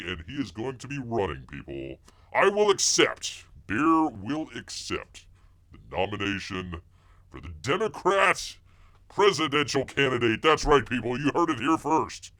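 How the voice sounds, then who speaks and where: A man's voice: medium pitch, fairly bright tone. A man voicing a bumbling, blustery male bear who rambles, stammers, talks about himself in the third person and keeps calling out to people. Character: bumbling blustery male bear, self-styled woods veteran. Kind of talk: comic character monologue.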